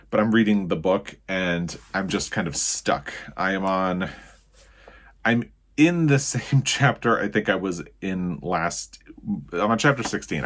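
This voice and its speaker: A man's voice: sits low, fairly bright tone. An American man talking casually as a book vlogger about his reading, rambling and often correcting himself as he goes.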